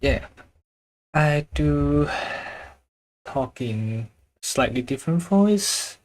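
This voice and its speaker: Slow, halting man with a Chinese accent. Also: a little high, animated, slight background noise. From China. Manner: halting, searches for words, chuckles often. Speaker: drawing livestreamer. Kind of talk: casual livestream chat while drawing.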